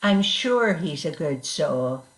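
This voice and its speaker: A woman reading the part of a tender, grieving Victorian mother in a story, gentle and halting as she repeats words. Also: deep voice, animated, soft background music, full character voice, poor audio. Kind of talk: dramatic reading of a classic story.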